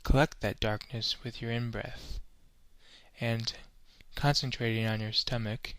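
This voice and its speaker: A man leading a scripted guided meditation in a measured, solemn, instructional manner. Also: medium pitch, fairly even intonation, a bit bright, a little hiss or hum.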